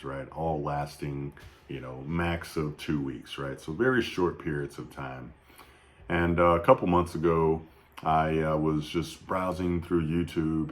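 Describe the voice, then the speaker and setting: A man in his thirties speaking off the cuff in a somber, reflective video tribute, halting often with fillers and restarts. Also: extremely low pitch. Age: 30s. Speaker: YouTube vlogger. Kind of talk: unscripted personal tribute.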